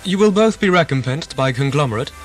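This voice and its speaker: A man's voice: on the high side, animated, fast talker, soft background music, natural voice. A man playing a ruthless, logical corporate starship captain in an audio drama, with formal, clipped, pedantic phrasing. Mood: cold, formal.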